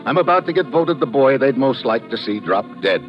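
An actor playing a wry, hard-boiled American government agent, world-weary and sardonic, in 1950s radio drama style. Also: male voice, medium pitch, lively intonation, fairly quick, lightly acted. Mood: wry narration. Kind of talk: 1950s radio drama acting and first-person narration. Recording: dull old radio recording, music behind.

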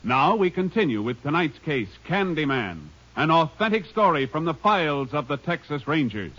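A male announcer speaks in formal 1950s radio style. His voice sits fairly high, and the old recording sounds muffled. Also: big pitch swings, moderate pace, a bit bright.

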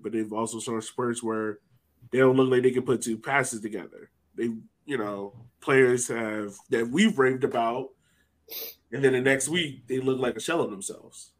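A man hosting a sports podcast, talking casually and thinking aloud as he goes. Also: medium pitch. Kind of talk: casual soccer discussion.

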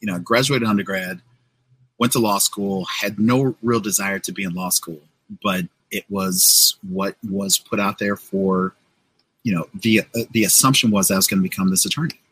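An American man in his forties with a husky voice, telling his story casually as an interview guest, often checking in with the listener. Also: deep voice, moderate pace, fairly bright tone, low-quality recording. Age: 40s.

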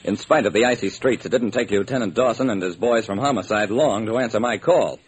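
A man's voice: on the low side, brisk, natural voice. An actor playing a hard-boiled private detective, narrating his case with dramatic, wry deliberation in old-time radio style. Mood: wry storytelling. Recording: noisy old radio recording.

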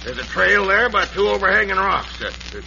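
An actor playing a gruff, easily flustered American sheriff in an old radio western. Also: male voice, sits high, big pitch swings, fairly quick, sharp tone, lo-fi sound, heavily acted. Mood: reluctant. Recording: thin, slightly noisy old radio recording.

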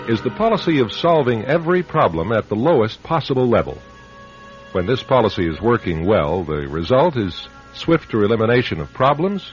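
Male narrator, measured dramatic radio storytelling, animated pitch, muffled lo-fi sound, faint music behind. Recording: dull lo-fi radio recording.

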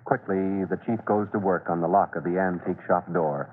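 A man narrating an old-time radio serial as its announcer, in a theatrical, deliberate style. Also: low voice, fairly even intonation, a little hiss or hum. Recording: tinny, band-limited old radio recording.

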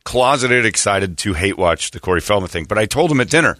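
A hoarse man hosting a morning radio show, rambling through a story with many restarts. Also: lowish voice, animated, brisk, a bit bright, no echo, studio quality. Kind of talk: casual morning show chat. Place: radio studio. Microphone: studio mic.

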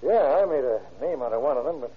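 An actor playing a plain-talking, easygoing police officer with a husky voice in an old-time radio drama. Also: male voice, mid-range voice, animated, quick pace. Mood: matter-of-fact. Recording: tinny, narrow vintage radio recording.

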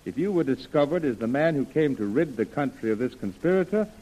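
An actor playing an earnest, persuasive gentleman major, formal and articulate, in an old-time radio adventure. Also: mid-range voice, animated, brisk, natural voice, poor audio.

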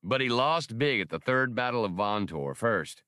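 Man voicing a hearty, animated seasoned adventurer. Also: medium pitch, normal speed, no echo, clean studio sound. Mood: amused. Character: jovial seasoned male adventurer explaining old war technology.

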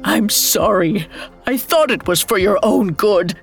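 Woman voicing a halting, desperate dying mother superior. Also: mid-range voice, gravelly, faint music behind. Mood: remorseful, dying. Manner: halting, pleading.

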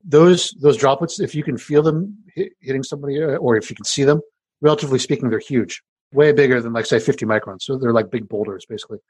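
A middle-aged man with a deep voice, an engineering professor casually explaining how speech releases particles, full of fillers and restarts. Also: quick pace, darkish tone, breathy edge, no echo, low-quality recording. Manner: conversational, many fillers and restarts. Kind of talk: explanatory interview answers.

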